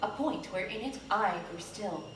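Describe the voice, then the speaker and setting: A woman reading a literary story aloud on stage in a theatrical, deliberate way, breaking it into measured phrases. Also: mid-range voice, animated, a bit bright. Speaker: live stage reader in an ensemble. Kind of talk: dramatic literary story reading, scripted narration. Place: live theatre stage, recorded from a distance. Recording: roomy, distant live theatre recording with noise.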